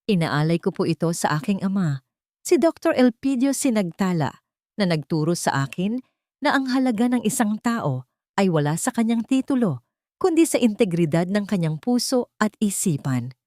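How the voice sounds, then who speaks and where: A woman's voice: mid-range voice, normal speed, no room sound, clean studio sound, natural voice. A woman with a Filipino accent voicing a confident, sincere woman scientist giving a heartfelt speech in an audiobook. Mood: solemn, heartfelt. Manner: clear, steady, grateful. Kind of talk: audiobook character dialogue.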